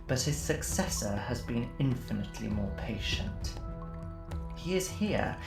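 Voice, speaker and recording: A smug cult villain, played by an actor in an audio drama speaks slowly and deliberately in an echoing space, with music underneath. The tone is silky and condescending, patient and full of menace. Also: medium pitch, lively intonation, vocal fry. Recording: echoey room, music underneath.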